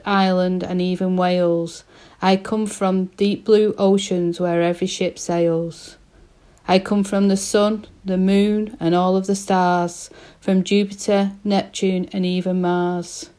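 A woman reciting a poem in a steady, measured way. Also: medium pitch. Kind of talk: poetry recital.

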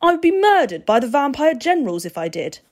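A woman's voice: high-pitched, very animated, a little fast, a little mellow, voice pushed up. A woman voicing a stern, menacing male vampire with formal, clipped, growling speech, in a storybook reading. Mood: firm refusal.

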